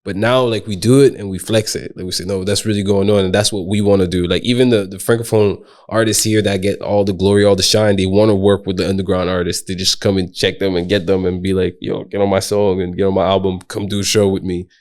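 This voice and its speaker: Laid-back slangy man, low voice. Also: level tone, fast, few pauses, a little mellow. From Quebec. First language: Haitian Creole. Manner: loose, slangy and laughing, with frequent swearing. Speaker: guest rapper. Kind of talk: casual podcast conversation.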